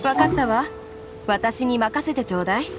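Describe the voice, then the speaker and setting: A woman's voice: a little high, lively intonation, normal speed, close to an everyday voice. A woman playing a courteous, obliging female antique merchant in a video game, speaking politely and reassuringly. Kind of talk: Japanese video game voice acting. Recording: game music behind the voice.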